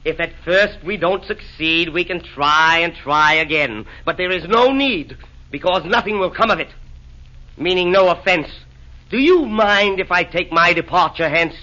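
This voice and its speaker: A fretful, fussy fiend who fires off nervous questions in a 1940s radio comedy. His voice is high and lively, with a bright edge. The old broadcast sound is dull and muffled. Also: normal speed.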